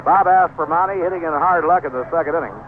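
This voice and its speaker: An American man gives live baseball play-by-play in a fairly high-set voice. He sounds like a 1970s radio announcer, heard through a thin, band-limited broadcast with light noise behind him. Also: fast, narrow, tinny audio.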